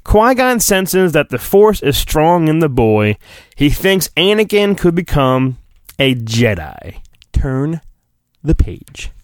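A man's voice: fairly high pitch, big pitch swings, no echo, studio quality. A man hosting a solo podcast, giving a mock-dramatic reading of a children's storybook with theatrical emphasis. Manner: theatrical, drawn-out emphasis, flippant asides.

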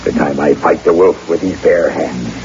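An actor playing a boastful backwoods guide with a French-Canadian accent, telling yarns theatrically in broken English in an old radio drama. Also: male voice, rumbling low, moderate pace, a little mellow. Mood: boastful, hearty. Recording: dull, noisy old radio recording.

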